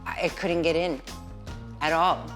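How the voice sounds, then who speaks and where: A woman's voice: sits low, steady tone, unhurried, fairly bright tone. A woman in her forties speaking thoughtfully in an interview. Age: 40s. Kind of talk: candid sit-down interview. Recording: faint music behind voice.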